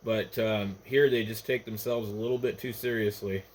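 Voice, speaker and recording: A man's voice: fairly low pitch, fairly even intonation, normal speed. An American man, an amateur radio operator, chatting casually over the radio. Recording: noisy ham radio transmission, static behind.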